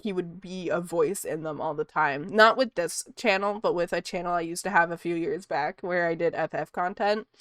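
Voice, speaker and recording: Chatty woman with a soothing, smooth voice. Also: lowish voice, no echo, studio quality. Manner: rambling and chatty, laughs often. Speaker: art streamer. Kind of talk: casual chat while drawing on stream.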